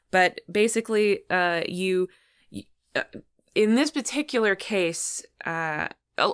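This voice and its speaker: A woman's voice: fairly low pitch, fairly bright tone, smooth. A woman hosting a solo podcast, talking casually in a rambling, chatty way about what she watches. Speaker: podcast host.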